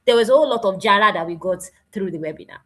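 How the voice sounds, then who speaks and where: Nigerian woman presenting, animated and emphatic. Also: medium pitch, very fast. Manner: animated, emphatic, with exclamations and repetition. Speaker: presenter at an online graduation event. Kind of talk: informal spoken presentation.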